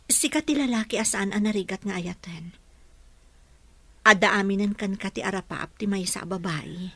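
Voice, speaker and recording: A woman's voice: medium pitch, normal speed, pushed and bright, no room sound. An actress with a Filipino accent playing a modest, polite woman working overseas in an Ilocano radio drama. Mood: shy, tender. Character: modest, respectful Filipina working abroad for a widowed boss.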